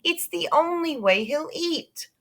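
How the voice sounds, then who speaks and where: A woman's voice: very high voice, expressive, slow pace, a bit bright, voice pushed up, exaggerated voice. A woman voicing an anxious mother in a children's storybook, coaxing and pleading.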